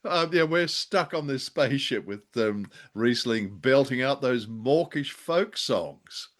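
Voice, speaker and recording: A man's voice: medium pitch, animated, slow pace, fairly bright tone. A man co-hosting a podcast, giving a casual review with wry, jokey exaggeration. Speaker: podcast co-host.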